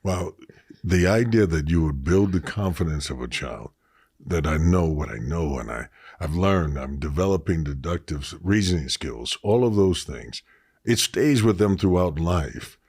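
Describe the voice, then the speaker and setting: Deep husky-voiced male interviewer. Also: lively intonation, moderate pace, darkish tone, no room sound, clean studio sound. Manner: enthusiastic, asks long leading questions. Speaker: podcast host. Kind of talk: interview conversation.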